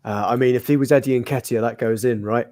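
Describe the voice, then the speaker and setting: A man's voice: medium pitch, steady tone, a little fast, warm tone. A man with a Northern English accent hosting a football podcast, leading the talk in an easygoing way. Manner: easygoing, leads the conversation. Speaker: podcast host. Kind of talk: casual football chat.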